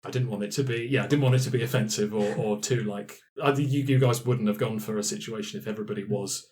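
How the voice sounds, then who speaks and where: A man's voice: lowish voice, steady tone, fast talker, a little mellow. A man hosting a casual post-game chat as game master, often acting out imagined remarks as he explains things.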